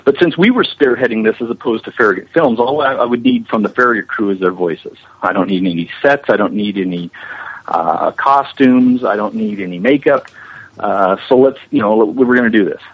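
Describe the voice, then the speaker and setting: A man speaking as an interview guest, explaining his animation work with frequent pauses mid-phrase. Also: lowish voice, slightly rough. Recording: muffled, lo-fi audio.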